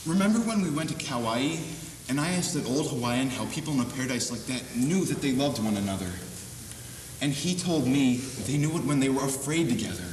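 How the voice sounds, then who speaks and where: A young man in a school stage play, playing an anxious husband who coaxes and pleads with his wife and bursts out loud at peaks, heard from far off in an echoey hall. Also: mid-range voice, expressive, a little fast, a little hiss or hum, close to an everyday voice. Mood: pleading. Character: anxious husband Jim, gently pushing his wife to face the truth. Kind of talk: amateur stage acting. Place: high school auditorium stage. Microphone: distant room mic.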